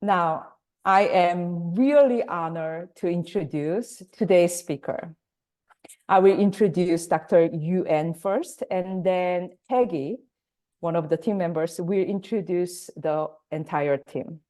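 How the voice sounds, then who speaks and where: A woman's voice: on the low side, slow, dark tone. Korean-speaking woman hosting a webinar. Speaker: workshop host and facilitator. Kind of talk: webinar introduction over video call. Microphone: video call.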